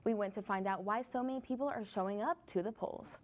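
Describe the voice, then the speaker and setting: A woman's voice: medium pitch, a little fast. Upbeat woman news anchor. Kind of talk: scripted anchor links.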